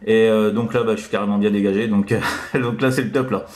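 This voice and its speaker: A man chatting casually as a radio operator, in a halting, repetitive way full of verbal tics. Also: on the low side, steady tone, darkish tone, reverberant. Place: indoors in an apartment by a window.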